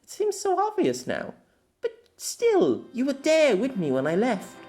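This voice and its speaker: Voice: sits high, wildly swooping pitch, a little slow, a little mellow, soft background music, pitched above the performer's own voice, heavily acted. A narrator voicing a spirited, imperious young warrior noblewoman with formal, old-fashioned and emphatic speech in an amateur audiobook. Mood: pleased. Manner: formal archaic phrasing, emphatic. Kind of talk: amateur audiobook character acting.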